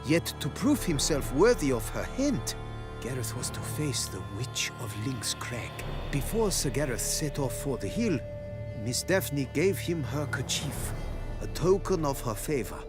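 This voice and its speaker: A man playing an earnest, excitable lover of romantic folk tales in a video game, telling them with dramatic emphasis. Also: mid-range voice, measured pace. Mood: dramatic, wistful. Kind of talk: video game character acting. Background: game music.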